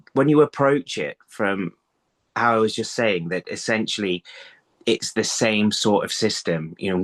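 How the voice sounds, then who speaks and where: A man in his thirties giving conversational interview answers over a video call, haltingly, thinking aloud and repeating words. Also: lowish voice. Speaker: writer being interviewed. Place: indoor room, video call. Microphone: phone on a video call.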